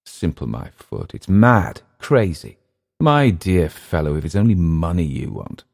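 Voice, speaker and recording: A man's voice: deep voice, big pitch swings, measured pace, gravelly, darkish tone, heavily acted. A man voicing a world-weary, domineering settler in an audiobook story, speaking with emphatic, teasing scorn. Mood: mocking. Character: domineering, mocking older male jungle settler, world-weary. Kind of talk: audiobook character dialogue.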